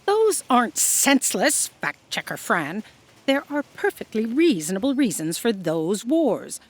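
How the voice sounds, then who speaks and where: Woman as imperious boss, animated high voice. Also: measured pace. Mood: haughty. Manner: pompous, authoritative. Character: imperious, self-serving female boss of cosmic bureaucracy. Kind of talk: scripted audio comedy drama acting.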